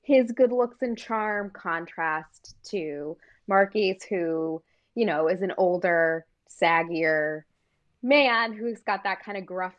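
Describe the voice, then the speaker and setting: A woman's voice: lowish voice, takes it slowly, muffled recording. A woman from Maine co-hosting a casual political talk, chatty and full of fillers. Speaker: co-host.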